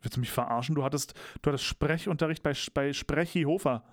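Young male co-host, rambling and teasing, raised pitch, dry sound. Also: fast talker, dry recording. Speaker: podcast co-host. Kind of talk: casual chat.

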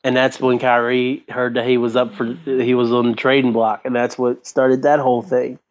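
A man's voice: medium pitch, level tone, moderate pace, lo-fi sound. A man hosting a casual sports discussion show. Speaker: sports talk show host.

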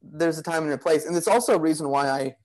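A man talking as a podcast guest in a casual, relaxed, rambling way, full of "you know" and "like". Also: on the high side, quick pace, poor audio. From Greek heritage. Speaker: podcast guest, bodywork practitioner. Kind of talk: casual conversational interview.

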